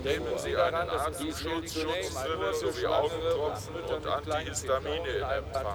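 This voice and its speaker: An actor playing a formal police announcer giving public warnings over a loudspeaker in a radio play. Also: male voice, fairly high pitch, a little hiss or hum, natural voice. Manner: formal, official.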